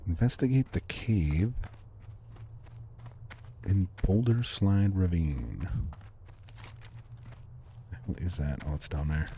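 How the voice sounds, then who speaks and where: A young man livestreaming a game, chatting calmly and casually with his viewers and thanking them for comments. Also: sits low, slow pace, long pauses, slight background noise. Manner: laid-back, calm, chatty with viewers. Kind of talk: casual live-stream chat reading comments.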